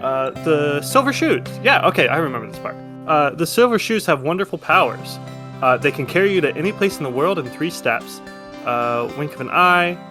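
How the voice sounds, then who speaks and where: A man voicing an awkward woman who haltingly recites a good witch's lines in a fantasy game. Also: on the high side, lively intonation, music in the background, pitched above the performer's own voice, natural voice. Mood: awkward, reciting. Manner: halting, muttering, reading aloud.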